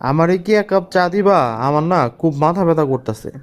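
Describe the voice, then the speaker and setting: Man acting a reassuring married elder brother, cartoon drama, slightly high pitch, earnest, Bangladeshi Bengali accent. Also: a little fast, natural voice. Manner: earnest, consoling.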